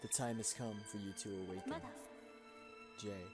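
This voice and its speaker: A man's voice: fairly low pitch, steady tone, normal speed, close to an everyday voice. A man voicing a solemn, mysterious spirit in a video game, speaking formally and portentously. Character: solemn mysterious spirit calling a sleeper to destiny. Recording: loud game music behind.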